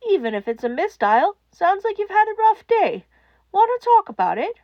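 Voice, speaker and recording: A woman voicing a warm, sincere male radio host in an audiobook, gently reassuring a caller. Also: extremely high pitch, sing-song, moderate pace, no room sound, highs cut off, pitched above the performer's own voice, full character voice. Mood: gentle, concerned. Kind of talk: audiobook character acting.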